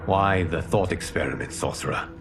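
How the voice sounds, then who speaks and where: Low-voiced, solemn commanding guardian. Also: male voice, moderate pace, vocal fry. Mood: grave. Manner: grave, formal, authoritative. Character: solemn male leader, a wise commanding guardian. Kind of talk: dramatic video game cutscene acting. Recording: music behind dialogue.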